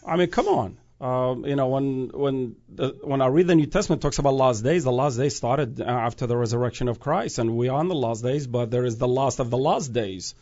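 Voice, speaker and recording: A man's voice: medium pitch, a little fast, hardly any pauses, darkish tone, dull audio. A man who grew up in Iraq, a guest speaking about prophecy in an earnest, preaching way, often restarting phrases and rising to emphatic peaks.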